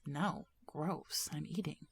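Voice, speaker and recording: A woman's voice: low voice, slow, gravelly, husky, exaggerated voice. Dry, sceptical teenage schoolgirl. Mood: dismissive. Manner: dry, dismissive. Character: sceptical, cautious teenage schoolgirl, reluctant confidante. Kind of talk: audio drama dialogue.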